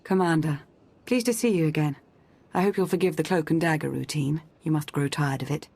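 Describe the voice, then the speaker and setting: Husky, composed intelligence officer. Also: female voice, low voice, darkish tone. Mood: composed, courteous. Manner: polite, measured, formal. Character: composed, courteous female intelligence officer, coolly purposeful. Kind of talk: video game acting.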